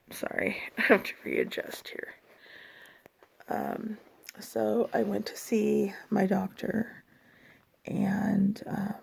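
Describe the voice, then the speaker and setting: Hoarse, husky-voiced woman vlogger, very unhurried, speaking haltingly and wearily about her health. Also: medium pitch, mellow. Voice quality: raspy. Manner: slow, halting and tired, with many pauses and fillers. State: hoarse from a lasting cough. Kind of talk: personal health update.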